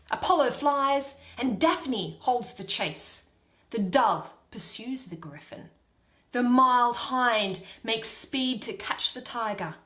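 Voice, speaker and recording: A woman's voice: mid-range voice, a bit bright, reverberant. A woman playing a devoted, stubborn young woman in love, imploring and impassioned in a dramatic Shakespeare reading. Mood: adoring.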